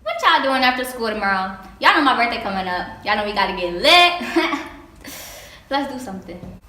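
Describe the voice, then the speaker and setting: A woman's voice: on the high side, animated, moderate pace, pushed and bright. A woman acting a bossy, jealous teenage mean girl in a stage play, slangy and confrontational. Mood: excited bragging. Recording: echoey stage, clear background noise.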